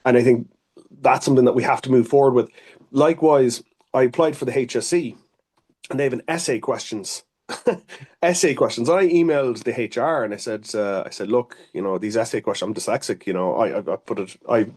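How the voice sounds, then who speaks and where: A man's voice: medium pitch, a little mellow. An Irish man, an occupational therapist, giving earnest, rambling interview answers full of restarts and fillers.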